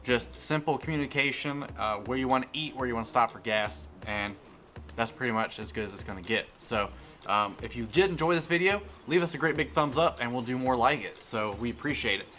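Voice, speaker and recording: Male vlog host, mid-pitched, casual and plain-spoken product reviewer, with music underneath. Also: expressive, brisk, bright, forceful tone. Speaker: host of a car tech review channel.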